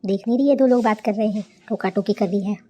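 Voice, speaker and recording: A woman's voice: mid-range voice, fast, mellow, natural voice. A woman playing an aggrieved older village mother-in-law in a cartoon, plaintive and grumbling. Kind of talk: cartoon character dialogue.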